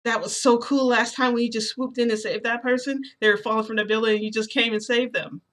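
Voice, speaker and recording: A woman's voice: lowish voice, quick pace, a bit bright, lightly acted. A woman voicing an eager, starstruck teenage boy hero student in a retold anime story, chattering with gushing enthusiasm. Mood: excited, gushing.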